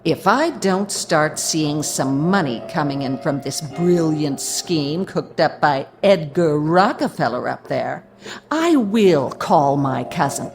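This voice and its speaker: An actress playing a domineering, bigoted, nagging wife in a radio drama, sarcastic, commanding and full of contempt. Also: female voice, sits low, lively intonation, slow, faint music behind, full character voice. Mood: mocking, menacing.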